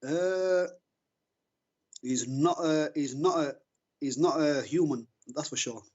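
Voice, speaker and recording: A man's voice: fairly high pitch, slow pace, darkish tone. A man from Asia calling into a debate, defensive and talking over the host. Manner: defensive, talks over the host, repeats words. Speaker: caller. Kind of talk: heated call-in debate. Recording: dull lo-fi call audio.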